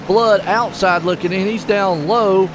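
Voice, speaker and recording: A man's voice: high voice, fairly even intonation, unhurried. Folksy man hosting a sim race. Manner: chatty and folksy, jokes with his co-host. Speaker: race commentator and stream host. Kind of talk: casual sim racing commentary. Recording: noisy broadcast mix with engine noise.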